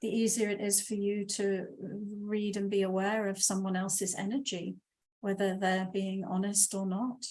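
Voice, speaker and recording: A woman guest speaks calmly and steadily, building her points in flowing lists. Her pitch sits in the middle of the usual range for a woman. She is joining the show over a video call. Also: moderate pace. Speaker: guest, meditation teacher. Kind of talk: casual interview talk.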